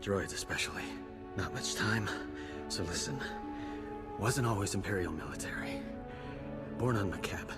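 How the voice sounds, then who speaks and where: Casting: male actor for dying game soldier, stoic, low voice, laboured broken phrases. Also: normal speed, long pauses, natural voice. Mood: pained, resigned. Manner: clipped, fragmented sentences. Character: mortally wounded male imperial infantry private, stoic. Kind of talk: video game cutscene acting. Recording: music playing behind.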